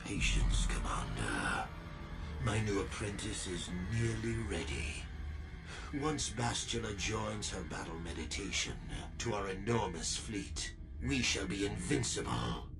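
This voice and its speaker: A menacing dark lord from a video game. His very low voice is gravelly and imperious. He speaks in slow, grandiose phrases. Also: expressive, over loud music. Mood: gloating menace. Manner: measured, grandiose. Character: menacing, imperious male Sith lord villain. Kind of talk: video game villain acting.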